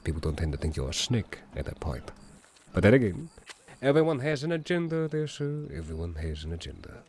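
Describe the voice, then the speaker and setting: A man playing a pompous low-voiced businessman. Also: very animated, a little mellow, slight background noise. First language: Mandarin. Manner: formal, measured, slightly pompous. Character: courteous Chinese immigrant businessman, mayoral hopeful. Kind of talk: roleplay dialogue.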